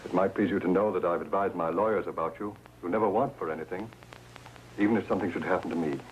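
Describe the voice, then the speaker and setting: Male actor as troubled rich husband, low voice, formal, hesitant, polite, 1950s crime drama, faintly noisy old recording. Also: quick pace, close to an everyday voice. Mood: formal, confiding.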